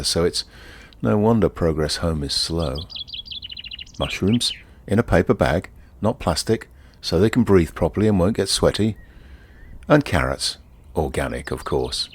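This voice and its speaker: An actor playing a wry, easygoing ordinary bloke who confides in the listener in a leisurely first-person monologue. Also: male voice, deep voice, slightly rough, full character voice. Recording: outdoor ambience with birdsong behind. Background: birdsong and outdoor ambience.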